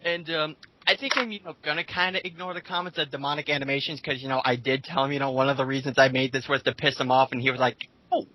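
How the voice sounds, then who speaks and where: A man's voice: mid-range voice, fairly even intonation, fast talker, few pauses, pushed and bright, slight background noise, low-quality recording. A 19-year-old man in a casual, blunt, sweary rant, reading comments aloud as a commentator.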